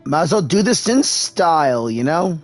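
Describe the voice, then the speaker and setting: A man's voice: a little high, big pitch swings, normal speed, faint music behind. A man doing livestream game commentary and voicing along as a character. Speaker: game streamer.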